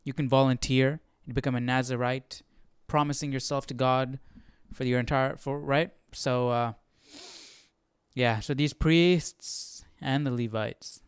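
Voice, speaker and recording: A man's voice: mid-range voice, steady tone, unhurried, clean tone, dry recording, studio quality. A man leading a casual unscripted Bible study as host, thinking aloud hesitantly and often checking with a "right?". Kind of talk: casual unscripted teaching commentary.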